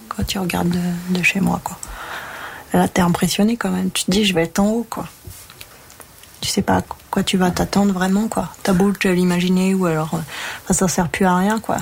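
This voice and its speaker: A woman's voice: on the low side, level tone, normal speed, vocal fry, a little hiss or hum. A woman in her twenties, a first-year trainee shepherdess, talking hesitantly with many fillers in casual conversation. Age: 20s.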